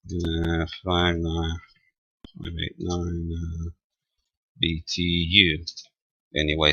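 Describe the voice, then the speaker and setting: Man, very low pitch, husky, forceful sharp tone, slow halting delivery, informal tech tutorial. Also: no room sound. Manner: halting, thinking aloud. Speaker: amateur radio tutorial presenter. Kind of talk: informal spoken software demonstration.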